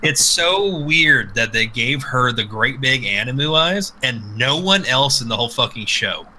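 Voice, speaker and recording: A man hosting a casual live podcast, rambling and swearing freely as he gives animated opinions. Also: mid-range voice, a little slow, slight background noise, rough recording. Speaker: podcast host. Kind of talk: casual opinionated live chat about games.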